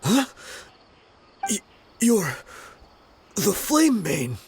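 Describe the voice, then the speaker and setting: A man's voice: sits high, huge pitch swings, extremely slow, slight background noise, exaggerated voice. An actor playing a stubborn, guarded male mercenary in a video game, speaking defiantly. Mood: startled. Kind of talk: English video game voice acting.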